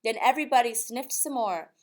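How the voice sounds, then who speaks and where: A woman reading a rhyming picture book aloud expressively, in a measured sing-song rhythm. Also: fairly high pitch, expressive, darkish tone.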